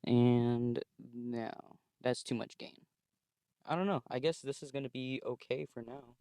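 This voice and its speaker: A young man hosting a casual solo podcast from inside his car, recorded on a phone, rambling and swearing freely in a thin voice without much bass. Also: fairly even intonation, measured pace, mellow, smooth, no room sound, studio quality. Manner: rambling, profane, laid-back with frequent fillers. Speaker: podcast host.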